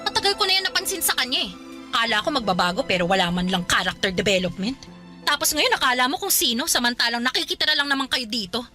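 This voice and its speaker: A woman's voice: on the high side, expressive, fast talker, sharp tone, soft background music. A woman playing an exasperated, scolding older sister in a Filipino radio drama, indignant in her delivery. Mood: fed up.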